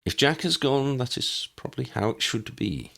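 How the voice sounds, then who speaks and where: A man voicing a calm, courteous old man in an audiobook, speaking in a measured, formal and unruffled way. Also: medium pitch, gravelly, pitched above the performer's own voice. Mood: gently reassuring. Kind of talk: audiobook character voice.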